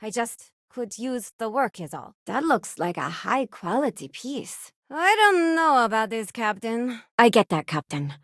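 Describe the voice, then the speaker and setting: A woman's voice: a little high, big pitch swings, measured pace, hardly any pauses, a bit bright, no room sound, studio quality, heavily acted. An actress playing an earnest, principled young working-class spaceship crew woman in a video game, frank and conversational. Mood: frank, casual. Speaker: video game companion character. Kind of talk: video game voice acting, short character lines.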